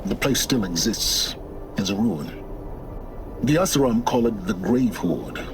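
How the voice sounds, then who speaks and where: A cold, condescending scholar. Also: male voice, on the low side, very animated. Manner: condescending, clipped, slow deliberate. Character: cold, aloof man, scholarly mentor. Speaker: game character. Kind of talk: video game cutscene acting. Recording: game audio with clear background noise.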